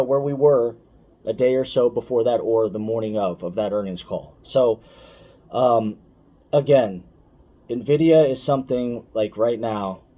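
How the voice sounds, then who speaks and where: A man's voice: medium pitch, mellow. A man giving casual, opinionated finance commentary for online viewers, speaking emphatically, repeating key points and addressing the listener directly.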